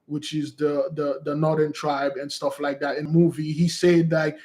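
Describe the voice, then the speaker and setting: Guy preaching off the cuff, kinda high voice, rambles and says everything twice, calls folks beloved. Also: level tone, moderate pace, darkish tone. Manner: rambling and repetitive, addresses listeners as beloved. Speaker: preacher and teacher. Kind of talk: informal teaching monologue.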